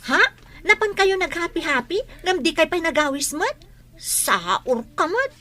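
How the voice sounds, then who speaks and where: A pushy, complaining teenage student, played by a woman in a radio drama. The voice is high and piercingly bright, whining and insistent. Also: sing-song, unhurried, heavily acted. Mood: worried.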